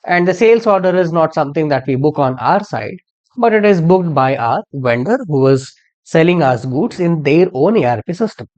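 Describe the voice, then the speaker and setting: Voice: mid-range voice, expressive, moderate pace, darkish tone, muffled recording. An instructor narrating a tutorial in an explanatory, step-by-step manner.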